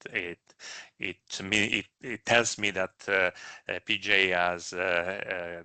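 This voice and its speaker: A man speaking as a medical director in a live question-and-answer session, explaining in a measured, careful way with hesitations. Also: low voice, pushed and bright, smooth, no echo.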